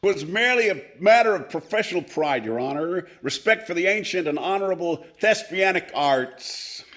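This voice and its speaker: A man's voice: high-pitched, lively intonation, moderate pace, a bit bright. A man playing a pompous, boozy old movie comedian, grandiloquent with muttered asides, in 1940s radio comedy style. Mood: pompous, oily.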